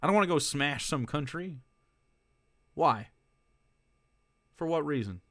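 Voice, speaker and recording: A man's voice: on the high side, animated, measured pace, a bit bright, no room sound, studio quality. A man who streams political commentary, reacting to clips in a casual, sarcastic and profane way, laughing between remarks.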